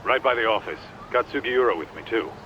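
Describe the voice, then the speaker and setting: A man's voice: mid-range voice, animated, fairly quick, bright, forceful tone. Cocky tough guy on a phone. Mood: breezy. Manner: cocky, slangy. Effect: phone call filter. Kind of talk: English video game voice acting. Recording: muffled phone call, noisy street ambience.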